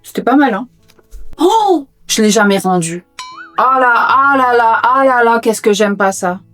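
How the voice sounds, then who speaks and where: A woman whose first language is Portuguese talks casually to her viewers while sorting books in her own room. Her voice sits a little high, and she keeps up a chatty, self-mocking stream of playful asides. Faint music plays behind her. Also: animated, a little slow, a bit bright. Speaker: book vlogger. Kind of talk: casual chat while tidying.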